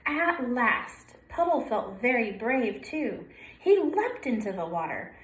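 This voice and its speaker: A woman's voice: a little high, sing-song, slow pace, a little hiss or hum. A woman preschool teacher reading a picture book aloud to young children, prompting with questions and sing-song counting. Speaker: preschool story-time teacher. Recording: roomy echo.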